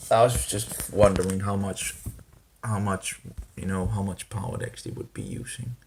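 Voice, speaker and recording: A man talking through a rambling casual vlog, halting and full of restarts, with grumbling disapproving asides. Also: low-pitched, fairly even intonation, slight background noise, low-quality recording. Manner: hesitant, halting, many restarts and fillers. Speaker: vlogger.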